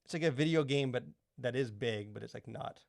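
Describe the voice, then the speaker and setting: Casual male podcaster, rapid and rambling, close dry sound. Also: medium pitch, vocal fry, no room sound. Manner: rambling, halting, filler-heavy explanations. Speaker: podcast co-host.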